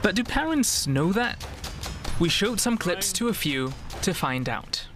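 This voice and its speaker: A male reporter with a fairly high voice narrates a news explainer over a clear music bed. He sounds conversational and likes to pose questions to the viewer. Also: very animated, unhurried, fairly bright tone.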